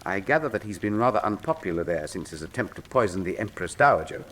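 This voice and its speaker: An actor playing a brilliant, unflappable gentleman detective in a radio drama, precise and dryly witty. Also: low-pitched, expressive, quick pace, a little hiss or hum. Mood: dry sarcasm. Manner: precise, wry, self-assured.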